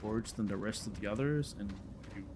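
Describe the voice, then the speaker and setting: A man's voice: lowish voice, normal speed, background noise. A man in his thirties from Denmark, a native Danish speaker with a Danish accent, casually chatting with viewers as a game streamer, hesitant and often repeating words. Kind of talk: casual chat with viewers while playing.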